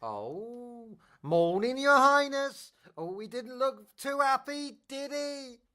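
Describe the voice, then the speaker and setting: Woman as a slow-talking, nosy washerwoman. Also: sits high, big pitch swings, pushed and bright, voice pushed up, exaggerated voice. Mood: gossipy. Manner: chatty, familiar, folksy. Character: cheeky, nosy, gossiping working-class washerwoman. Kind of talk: stage musical radio play acting.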